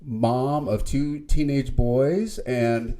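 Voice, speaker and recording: A man co-hosting a podcast, chatting casually and often agreeing with a quick "right". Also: mid-range voice, fairly even intonation, warm tone, no room sound. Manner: affirming, says "right" often, reads a quote aloud. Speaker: podcast co-host.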